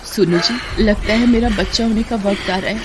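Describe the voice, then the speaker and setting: A woman's voice: medium pitch, moderate pace, a little hiss or hum, natural voice. A woman voicing a poor, anxious pregnant village wife in pain, pleading in a moral-story cartoon. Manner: pleading, worried.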